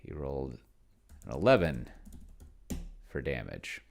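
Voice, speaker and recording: A man giving casual think-aloud commentary on a solo tabletop game, halting and muttering to himself in a gravelly voice. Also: a bass voice, big pitch swings, slight background noise. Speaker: solo tabletop gamer, video host.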